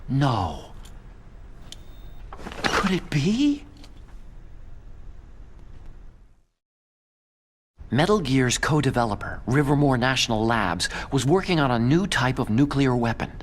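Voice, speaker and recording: A man's voice: mid-range voice, big pitch swings, unhurried. An actor voicing an anxious, idealistic young male scientist in a video game, earnest and emotional. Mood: earnest, explaining. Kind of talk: dramatic video game voice acting. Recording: faint background music.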